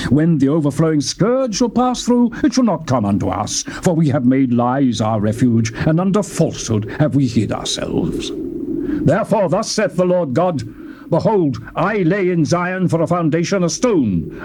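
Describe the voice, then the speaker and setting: A man with a British accent gives a dramatic, theatrical scripture reading, declaiming with sweeping rises and falls in pitch. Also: dark tone. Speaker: Bible reader. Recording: noisy old tape recording.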